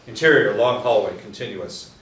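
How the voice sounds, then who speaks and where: A man reading stage directions aloud at a table reading, steady with small restarts. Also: lowish voice, moderate pace. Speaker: script narrator. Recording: echoey room from a distance, faint hiss.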